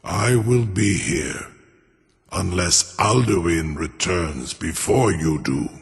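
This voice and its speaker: Deep, gravelly, ponderous ancient dragon. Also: male voice. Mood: wounded pride. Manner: slow, pompous, formal, savoring words. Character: ancient proud male dragon, cunning and grandiose. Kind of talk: fantasy video game acting. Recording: long cavernous reverb.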